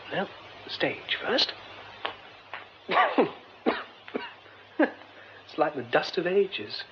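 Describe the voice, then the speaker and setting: Excitable, high-voiced British gentleman. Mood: musing. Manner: expressive, muttering exclamations to himself. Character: polite, excitable, educated English theatre man. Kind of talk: radio drama acting. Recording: dull, noisy radio recording.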